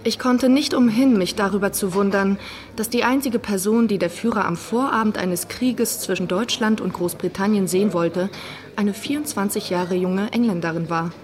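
An actress playing an English female newspaper correspondent, measured and observant, recounting events in a German audio docudrama. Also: mid-range voice, darkish tone, natural voice. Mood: wondering. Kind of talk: German audio docudrama, first-person recollection.

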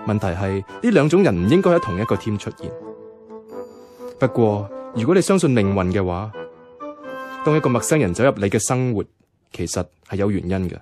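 A man's voice: on the low side, lively intonation, a little fast, pauses often, lightly acted. A man playing an easygoing young office worker in a radio drama, speaking and narrating in Hong Kong Cantonese. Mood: wry, reflective. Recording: music behind the voice.

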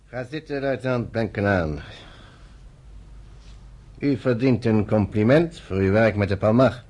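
An actor playing a formal, measured British general in a radio drama, speaking with authority. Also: male voice, lowish voice, a little mellow. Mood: formal, courteous. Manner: formal, authoritative, deliberate phrasing. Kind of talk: Dutch radio drama acting.

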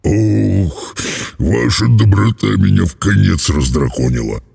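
Storybook dragon, husky growl, rumbling, ponderously slow, emotional. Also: male voice, expressive, warm tone, full character voice. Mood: tearful, aggrieved. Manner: slow, drawling, bellowing and sobbing delivery. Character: grumpy, sullen old-fashioned dragon, secretly lonely and sentimental.

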